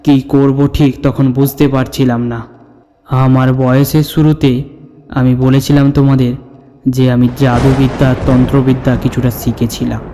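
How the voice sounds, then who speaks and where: A man playing an older storyteller who recounts his own ghostly encounter in a suspenseful, measured narration. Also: medium pitch, level tone, dark tone, slight background noise, lightly acted. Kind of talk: dramatic scripted horror story narration. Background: background music.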